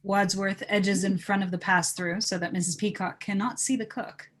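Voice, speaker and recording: Casting: female narrator for an informal script read, medium pitch, reading out stage directions. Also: fairly even intonation, moderate pace, a bit bright. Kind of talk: scripted narration in a casual live table read.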